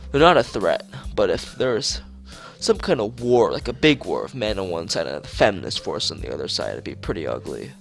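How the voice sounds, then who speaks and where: A man acts out a young conspiracy-obsessed schoolboy from a visual novel. He rants and pleads with urgent melodrama, his pitch leaping about over a slightly gravelly edge. Light music plays behind him. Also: sing-song, a little fast, few pauses, exaggerated voice. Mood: paranoid, intense. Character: paranoid, ranting young male conspiracy theorist schoolboy. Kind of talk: reading visual novel dialogue aloud.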